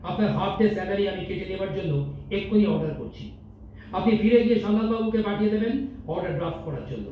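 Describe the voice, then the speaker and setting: A man acts out a condescending, impatient company boss in a staged audio drama. His voice sits high for a man, and he scolds pompously, slipping into English. The sound is distant and very reverberant, as from a hall stage. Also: quick pace, lightly acted. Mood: stern, scolding. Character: stern, condescending male company boss, business-minded and impatient. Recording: distant echoey hall, dull, faint noise.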